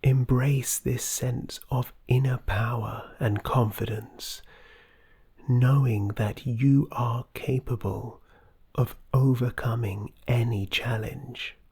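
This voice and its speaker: A meditation guide reading a scripted guided practice in a soft, soothing, breathy, husky voice that often nears a whisper. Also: medium pitch, steady tone, takes it slowly.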